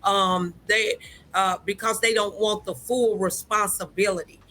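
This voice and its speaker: A woman hosting a conversational relationship talk show, speaking in a halting, deliberate way with drawn-out phrases. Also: medium pitch, fairly even intonation, sharp tone, slight background noise.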